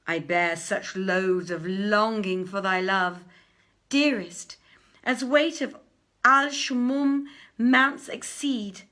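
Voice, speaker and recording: A woman voicing a weary, lovesick young prince in a storybook, declaiming in a fervent, drawn-out manner. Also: mid-range voice, pushed and bright. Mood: impassioned longing. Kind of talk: storybook verse recitation.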